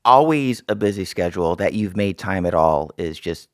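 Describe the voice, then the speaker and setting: A man hosting a podcast in casual chat, effusive and animated in the way he talks. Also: low-pitched, moderate pace, no echo, studio quality. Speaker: podcast host.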